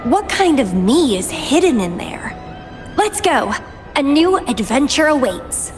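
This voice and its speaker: A woman playing an enigmatic, proud and world-weary young woman in a video game, musing slowly with wistful self-questioning. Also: high voice, big pitch swings. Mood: curious, playful. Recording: background music under the voice.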